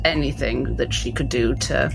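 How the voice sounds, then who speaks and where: A woman playing a tabletop roleplaying game, narrating her character in deliberate, measured phrases. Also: extremely low pitch, fairly even intonation, gravelly, poor audio. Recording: music behind the voice.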